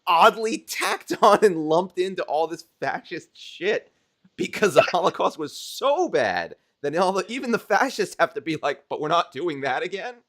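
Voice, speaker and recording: A man co-hosting a casual online stream, his pitch leaping around as he riffs. He talks with a jokey, animated energy. His voice sits a bit higher than most men's. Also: normal speed. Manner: animated, joking, laughs through hot takes. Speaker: co-host of a mahjong stream.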